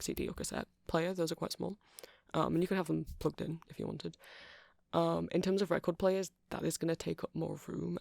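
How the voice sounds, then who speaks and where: A man playing a nerdy, friendly vampire who keeps a music shop, rambling gently and hesitantly in a casual ASMR roleplay, with a creaky, soft-spoken voice. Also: fairly high pitch, dry recording, clean studio sound.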